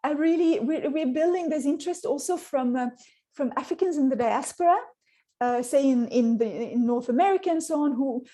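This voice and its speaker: A woman's voice: high voice, brisk, warm tone. A woman scientist whose first language is Swedish answers audience questions in a thoughtful way, with many restarts and fillers. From Sweden. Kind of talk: online Q&A answer.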